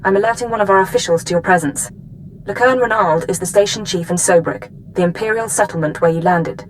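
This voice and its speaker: A woman's voice: mid-range voice, brisk, slight background noise, lightly acted, rough recording. An actress playing a formal, businesslike female intelligence officer who gives mission briefings in a video game. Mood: formal, composed. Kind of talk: scripted video game dialogue.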